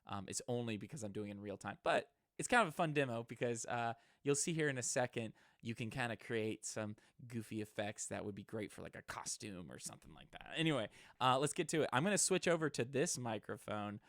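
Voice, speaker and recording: A man's voice: medium pitch, animated, fairly quick, hardly any pauses, a bit bright, dry recording, clean studio sound. A man giving an informal, somewhat hesitant explanation in a casual tech demonstration. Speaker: presenter, electronics hobbyist. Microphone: close microphone into an audio interface.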